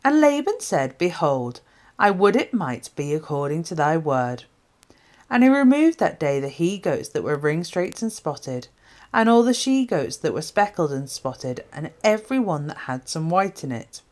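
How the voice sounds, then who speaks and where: Woman doing a bible reading, deep-ish low voice, formal measured recitation. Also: a little mellow. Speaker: Bible reader. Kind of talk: scripted scripture reading.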